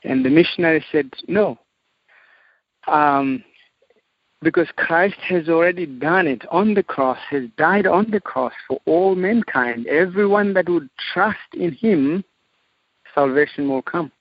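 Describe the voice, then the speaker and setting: A man from Zimbabwe, a missionary guest, telling his testimony in a slow, measured way with frequent pauses. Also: fairly high pitch, husky, dry recording. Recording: dull, dry audio.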